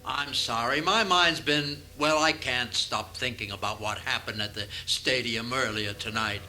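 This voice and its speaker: A man's voice: medium pitch, a little slow, bright, forceful tone, slight background noise. A man playing a distracted, rambling middle-aged police chief in a comic radio play. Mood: distracted, musing. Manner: rambling, absent-minded, dramatic. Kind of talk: comic radio theater acting. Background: music.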